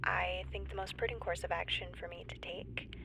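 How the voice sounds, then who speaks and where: A woman playing a nervous stranded geologist in a sci-fi audio drama log, halting and rambling as she tries to stay composed. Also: lowish voice, steady tone, vocal fry, background noise. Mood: cautiously hopeful. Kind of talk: audio drama monologue, found-footage log.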